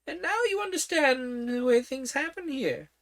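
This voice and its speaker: A woman voicing a flustered, scatterbrained old queen in a storybook reading, her voice climbing into high fluttery shrieks. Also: animated, moderate pace, gravelly. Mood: fussing.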